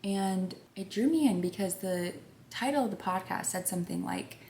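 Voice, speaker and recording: A young woman with a soft, gentle voice, chatting casually through a makeup routine, rambling and sometimes whispering. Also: medium pitch, lively intonation, moderate pace. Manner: rambling, drops into whispers at times. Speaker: beauty vlogger. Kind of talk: soft chatty get-ready-with-me vlog. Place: home. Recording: echoey room with faint background noise.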